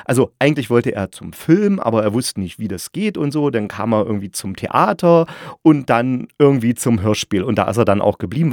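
A man's voice: medium pitch, a little mellow, no room sound, clean studio sound. A man hosting a podcast in a casual, chatty style, often correcting himself as he reviews. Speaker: podcast host and radio drama reviewer.

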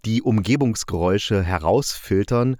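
A man's voice: on the low side, moderate pace, darkish tone, dry recording, clean studio sound. A man giving a casual, colloquial and opinionated spoken review, retelling stories as a host. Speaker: host reviewing audio dramas.

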